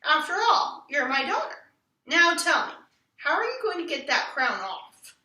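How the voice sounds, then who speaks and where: A woman voicing a calm, gently teasing storybook father with a measured, matter-of-fact way of talking. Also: high-pitched, exaggerated voice. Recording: roomy echo, distant mic.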